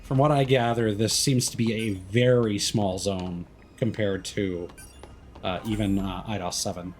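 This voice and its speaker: A man doing casual live commentary as a video game streamer, thinking aloud with hesitant pauses mid-sentence. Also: fairly low pitch, steady tone, a little hiss or hum.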